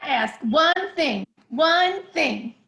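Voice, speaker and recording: A woman's voice: high voice, lively intonation, takes it slowly, vocal fry, sharp tone, exaggerated voice, poor audio. A woman playing a prim, indignant married woman in a sitcom table read, scolding emphatically. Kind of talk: sitcom script read aloud.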